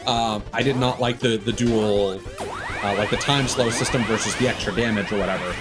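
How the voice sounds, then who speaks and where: A man streaming games, chatting casually and giving opinions in halting phrases with emphatic bursts. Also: fairly low pitch, steady tone. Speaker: game streamer. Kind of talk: casual opinionated rambling on a livestream. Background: music behind the voice.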